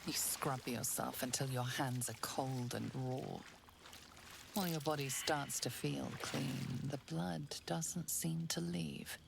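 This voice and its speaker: A woman playing a grave, sinister storyteller, narrating a dark fantasy game in a measured, intimate, ominous way. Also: very deep voice, creaky, background noise, heavily acted. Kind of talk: scripted second-person dark fantasy narration. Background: music.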